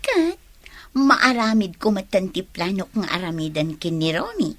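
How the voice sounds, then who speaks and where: A woman's voice: mid-range voice, sing-song, a little slow, no room sound. A woman playing a sly accomplice who teases and helps plot a scheme in a radio drama. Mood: plotting.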